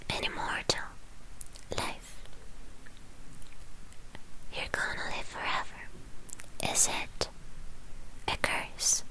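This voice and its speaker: A woman telling a story in a breathy ASMR whisper, gentle and soothing, with pauses between short phrases. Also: poor audio.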